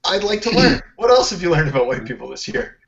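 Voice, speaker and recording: A man's voice: sits high, sing-song, fast talker, creaky, fairly bright tone. A man hosting a podcast in casual chat, joking in a self-deprecating way and asking lots of questions. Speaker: podcast host. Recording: dull lo-fi podcast audio.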